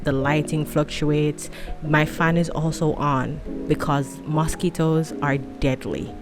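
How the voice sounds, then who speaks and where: A woman's voice: deep voice, fairly even intonation, slow, over music. A woman in her late twenties chatting casually to camera at home, rambling and self-deprecating, a fan running nearby. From tropical country. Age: 20s. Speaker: vlogger.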